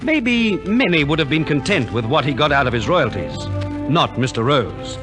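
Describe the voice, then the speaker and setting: Lively, higher-voiced male radio narrator. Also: normal speed, over music. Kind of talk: scripted 1950s radio narration. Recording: vintage 1950s radio transcription.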